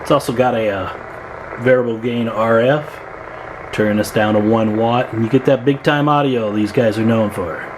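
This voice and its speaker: Casual man, clearly noisy room recording. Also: medium pitch, steady tone, moderate pace. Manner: informal, folksy, asks rhetorical questions. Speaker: hobbyist radio builder presenting own work. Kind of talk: casual product showcase to camera. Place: indoor room, behind a handheld camera.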